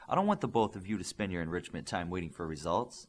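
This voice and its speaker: A man's voice: low-pitched, animated, fast talker. A man voicing a motherly woman scientist with an eager, emphatic manner in an audiobook. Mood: businesslike.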